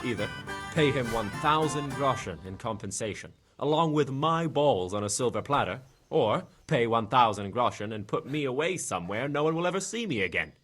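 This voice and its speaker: A man's voice: mid-range voice, animated, moderate pace, fairly bright tone, soft background music, lightly acted. An actor playing a roguish, lusty young nobleman in a game, talking in a florid, wry way. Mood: rueful, aggrieved. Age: young adult. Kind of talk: video game cutscene acting.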